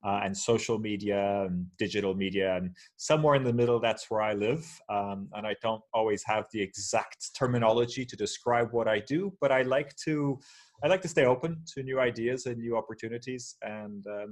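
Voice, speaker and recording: A man's voice: on the low side, normal speed. A man from Portugal speaking as a guest on a video call from a shared office, talking casually with many fillers and restarts.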